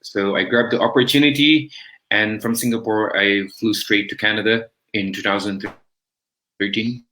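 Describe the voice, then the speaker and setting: A man's voice: lowish voice, fairly even intonation, moderate pace, pushed and bright, slight background noise, rough recording. A man, telling his own story reflectively and conversationally as an interview guest. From Philippines. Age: 30s. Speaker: interview guest, business coach. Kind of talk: personal storytelling in a livestream interview.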